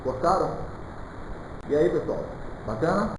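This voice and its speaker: A man's voice: fairly high pitch, expressive, a little slow. A man from Salvador, giving an informal live lecture as a law teacher, didactic and often checking in with listeners. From Salvador, Brazil. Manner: didactic, with frequent check-in questions and colloquial asides. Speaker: criminal law teacher for public exam candidates. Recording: very echoey room with clear background noise.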